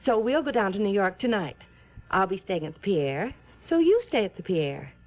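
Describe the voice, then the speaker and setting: Gushing, animated young socialite. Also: female voice, medium pitch, moderate pace. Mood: gushing, excited. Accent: American. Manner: gushing, coaxing. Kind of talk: 1950s radio acting. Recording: dull, faintly noisy vintage radio recording.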